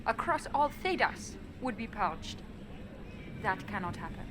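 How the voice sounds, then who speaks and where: An actress playing an elven spymaster in a video game, guarded and coolly defiant, speaking in a measured, dry way. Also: female voice, medium pitch, very animated, noisy background. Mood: determined. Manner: measured, matter-of-fact, dry. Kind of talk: video game dialogue acting. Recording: music behind the voice. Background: game music.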